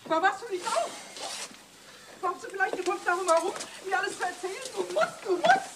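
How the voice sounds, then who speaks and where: A woman's voice: very high-pitched, very animated, fairly quick. A woman playing a jealous, bitter wife, needling and dramatic, in a German crime radio play. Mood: desperate. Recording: noisy old radio play recording.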